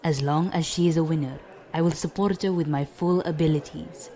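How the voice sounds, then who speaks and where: A woman plays a stern, dignified admiral in a space audio drama. Her speech is formal and measured, every phrase fully spelled out with commanding poise. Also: low voice, fairly even intonation, dark tone. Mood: calmly firm. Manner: formal, measured, avoids contractions. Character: stern, dignified, loyal female fleet admiral.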